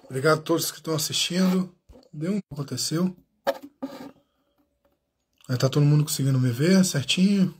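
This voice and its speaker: A man's voice: a little high, moderate pace, pauses often, slight background noise. A Brazilian man hosting a live interview stream, chatting casually, thanking viewers by name and often restarting his sentences. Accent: Brazilian Portuguese. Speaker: channel host.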